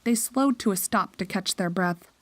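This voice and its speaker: A woman's voice: medium pitch, normal speed, a little hiss or hum. A woman narrator reading a scripted horror story in a storytelling style.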